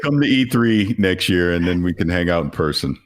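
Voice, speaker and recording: A man's voice: low voice, animated, a little fast. A man talking as a guest on a casual podcast, emphatic and opinionated.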